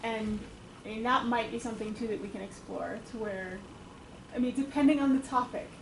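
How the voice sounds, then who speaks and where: A woman who leads a support group, talking quickly in a group discussion and laughing as she goes. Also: on the high side, gravelly. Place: meeting room with a group. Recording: echoey room, noisy.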